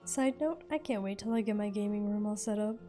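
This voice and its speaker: A woman's voice: medium pitch, brisk. A young woman giving casual, slangy, offhand commentary while streaming a game. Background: faint game music.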